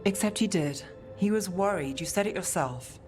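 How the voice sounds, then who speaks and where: A woman's voice: medium pitch, moderate pace, lightly acted. A woman playing a worldly, cool-headed adventurer who talks in a wry, matter-of-fact way in a video game cutscene. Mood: reflective. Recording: music clearly behind the voice.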